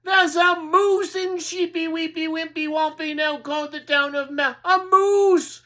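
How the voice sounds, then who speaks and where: A woman voicing an excitable male porcupine town crier who shouts out his announcements. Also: very high-pitched, unhurried, voice pushed up, exaggerated voice. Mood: shouting, excited.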